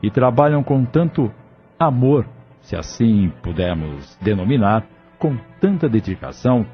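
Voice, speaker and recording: Male narrator, deep-leaning voice, steady solemn storytelling, lo-fi sound. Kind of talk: scripted audiobook narration.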